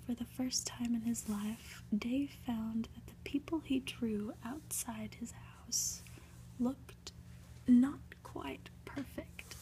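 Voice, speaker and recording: A woman reading a storybook aloud in a deliberate ASMR whisper. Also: a little high, mellow, slight background noise, low-quality recording. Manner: whispering, slow, deliberate delivery with occasional asides. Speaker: ASMR reader.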